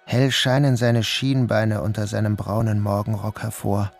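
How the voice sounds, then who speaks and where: An actor playing a troubled grown son who narrates his own story in an introspective, measured literary style. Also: fairly low pitch, flat delivery, gravelly, faint music behind. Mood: uneasy, observant. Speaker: first-person narrator and protagonist. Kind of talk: literary audio drama narration.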